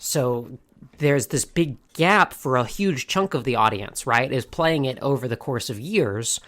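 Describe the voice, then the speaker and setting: A podcast co-host in casual conversation, with a voice pitched right in the middle. They speak articulately and steer the talk from one topic to the next. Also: animated, a little slow, a bit bright. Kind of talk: casual chat.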